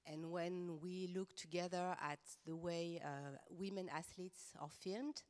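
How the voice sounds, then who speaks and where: A woman's voice: on the low side, fairly even intonation, a little slow, a little mellow. A French woman speaking English with a French accent, a professor on a panel who talks earnestly and hesitantly, with frequent fillers. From France. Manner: earnest and passionate, many hesitations. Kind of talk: round-table panel talk. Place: conference room.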